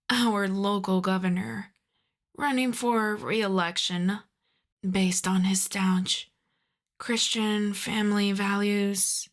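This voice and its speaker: Audio drama casting: female mafia boss acted by a woman, wry and courteous under exasperation, sweary, medium pitch, leisurely delivery. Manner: wry, sweary, conversational, addresses listener as darling. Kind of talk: roleplay audio drama, ASMR.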